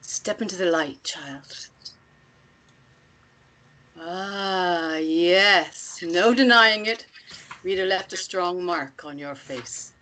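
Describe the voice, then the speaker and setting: Slow, low-voiced enigmatic cult matriarch played by an actress. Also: female voice, pushed and bright, exaggerated voice, low-quality recording. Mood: knowing, imperious. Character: enigmatic female cult leader, an imperious, knowing aunt. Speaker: actor in a screenplay table read.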